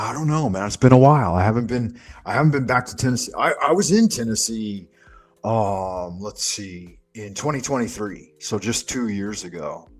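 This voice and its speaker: A man hosting a stream, rambling in casual chat and swearing freely. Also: lowish voice. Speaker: streamer host.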